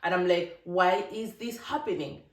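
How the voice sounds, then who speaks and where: A vlogger from Africa, giving an emphatic, animated opinion monologue with laughing asides. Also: mid-range voice, normal speed. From East Africa. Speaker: vlogger, channel host. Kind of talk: casual opinion monologue to camera. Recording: roomy, distant from the mic.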